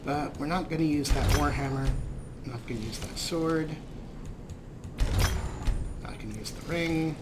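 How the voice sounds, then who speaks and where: A man's voice: fairly high pitch, a little slow, long pauses. Man doing casual gameplay commentary, noisy background. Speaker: let's play host. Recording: noisy, game sound behind voice. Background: video game ambience.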